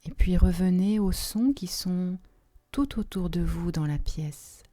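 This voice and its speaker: A woman leading a guided relaxation meditation in a soft, soothing, unhurried manner with long pauses. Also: on the low side. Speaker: yoga nidra relaxation guide. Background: soft music.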